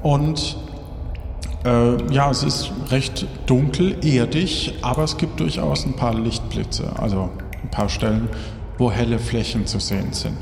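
A man of medium pitch acts as game master, improvising his narration at a slow pace. He feels his way through descriptions, in an echoey room with faint noise. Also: creaky.

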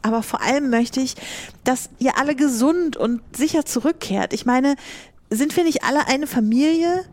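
Woman as protective crew leader, slightly high voice. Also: moderate pace, darkish tone, natural voice. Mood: caring, encouraging. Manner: protective, instructive. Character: motherly, cautious crew leader briefing seafarers. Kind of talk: improvised audio drama role-play.

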